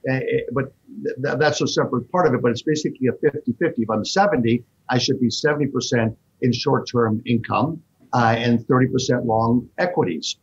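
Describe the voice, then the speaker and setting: Husky man explaining markets fast. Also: medium pitch, dull audio. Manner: fast, animated explaining with fillers. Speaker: fund manager guest. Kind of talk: interview answers.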